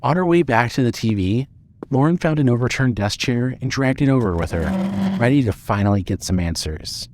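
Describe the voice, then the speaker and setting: A man narrating a scripted story in a measured, suspenseful way. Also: medium pitch, lively intonation, darkish tone, a little hiss or hum.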